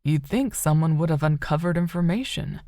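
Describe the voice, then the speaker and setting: A woman audiobook narrator voicing a gentle, considerate man who speaks with care. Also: low voice, expressive, normal speed, no room sound, clean studio sound. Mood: gentle, curious. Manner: gentle, careful.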